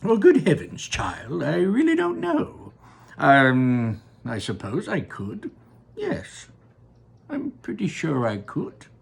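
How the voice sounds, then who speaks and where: A frantic, eccentric chocolate maker, put on by a man, chirps and flutters in a high voice that swings wildly up and down. A gravelly edge roughens his exclamations. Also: huge pitch swings, slow pace, full character voice. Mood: flustered.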